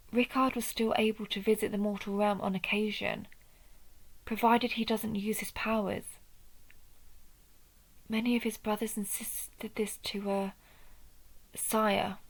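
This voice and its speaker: A woman playing a wry vampire who hunts her own kind, speaking in a deliberate, hushed way in a scripted audio roleplay. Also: mid-range voice, vocal fry, rough recording.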